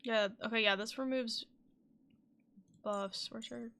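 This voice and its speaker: A woman's voice: fairly high pitch, steady tone, slow pace, sharp tone, clear, resonant tone. A woman giving casual gameplay commentary as she streams. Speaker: game streamer.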